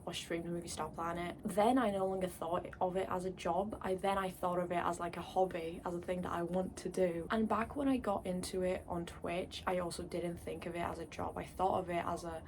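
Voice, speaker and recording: A young woman whose first language is Polish, talking quietly late at night in her room in a casual vlog monologue. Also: on the low side, steady tone, a little fast, few pauses, a little hiss or hum. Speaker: YouTuber.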